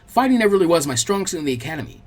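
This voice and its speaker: A man voicing a friendly, bookish teenage girl who is chatty, practical and gently bossy, in a story reading. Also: fairly high pitch, very animated, racing.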